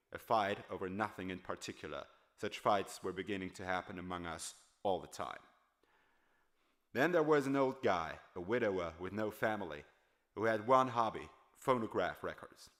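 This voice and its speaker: A man reading a first-person novel aloud in a dramatic, storytelling style. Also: fairly low pitch. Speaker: audiobook narrator.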